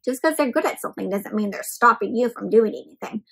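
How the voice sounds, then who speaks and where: A young woman hosting an interactive read-aloud for small children, expressive and full of questions addressed straight to her listeners. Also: a little high, fast talker, creaky, husky, soft background music. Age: young adult.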